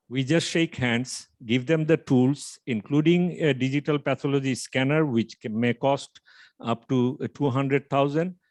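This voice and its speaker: A man pitches his company over a video call. His mid-range voice comes across dry and close, with no room sound around it. He speaks in a measured, explanatory way, pausing often on fillers. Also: no room sound. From Bangladesh. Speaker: startup founder pitching. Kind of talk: online pitch presentation.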